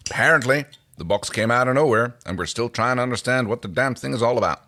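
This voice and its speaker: A man's voice: medium pitch, fast, pushed and bright, pitched above the performer's own voice. A man voicing an easygoing astronaut with a casual, wry, folksy manner in a fan fiction reading. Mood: puzzled, resigned.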